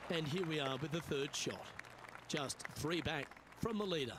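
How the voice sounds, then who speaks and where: A man playing a TV golf commentator in a video game, calling play in a measured, conversational way. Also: a little high, a crowd in the background, noisy background, lightly acted. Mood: measured commentary.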